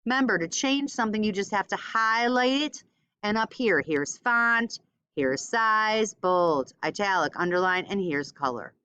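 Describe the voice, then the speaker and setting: A woman's voice: mid-range voice, animated, a little slow, sharp tone, clean tone. Woman giving a casual tutorial, dull lo-fi sound. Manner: informal, thinks aloud while demonstrating. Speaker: teacher giving a software tutorial. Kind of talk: casual screen-recorded step-by-step tutorial.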